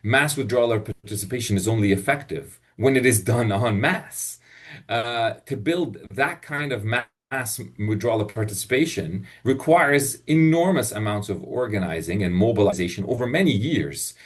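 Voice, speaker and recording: A man from Palestine speaking off the cuff as a panelist in an online discussion, halting and repeating words as he argues emphatically. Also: mid-range voice, lively intonation. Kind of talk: impromptu webinar discussion. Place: online video call.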